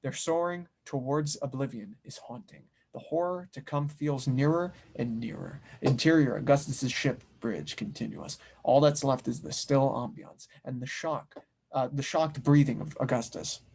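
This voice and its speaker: A man's voice: medium pitch, normal speed, gravelly, a little mellow, a little hiss or hum. A man reading scene directions as narrator at an audio drama table read, in a dramatic scripted style.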